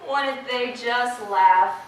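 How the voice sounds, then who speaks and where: Female, stage drama: actress as timid dutiful wife, earnest and halting, slow delivery, heard far off in an echoing room. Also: a little high. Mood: nervous. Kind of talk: amateur stage play acting. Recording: echoey stage, distant mic, faint noise.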